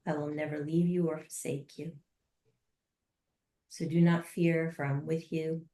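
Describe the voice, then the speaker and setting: A tender, loving divine father figure, voiced by a woman in a guided meditation. He speaks softly and reassuringly in a low, even voice. A roomy echo surrounds the words. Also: a little slow. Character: loving, gentle divine man comforting a child.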